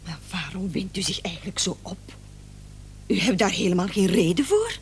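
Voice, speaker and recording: An actress playing a determined, protective wife in a radio play, insistent and sharply reproachful. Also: female voice, medium pitch, expressive, normal speed, husky. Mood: indignant, accusing. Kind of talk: Dutch-language radio play acting.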